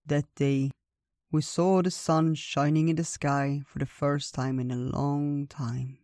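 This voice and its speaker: An unhurried, wise old male bear from a storybook, acted out by a narrator, welcoming guests and telling tales with theatrical, drawn-out phrases. The sound is dry and gentle. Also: mid-range voice, little pitch movement, no echo. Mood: solemn, reminiscing. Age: older.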